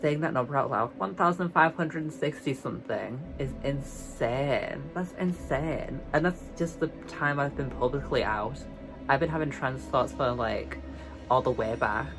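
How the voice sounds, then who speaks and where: A young woman from the UK with a light, high, girly voice, talking casually in a vlog, chatty and self-mocking, stretching words for emphasis. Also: moderate pace, over music, rough recording. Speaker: vlogger.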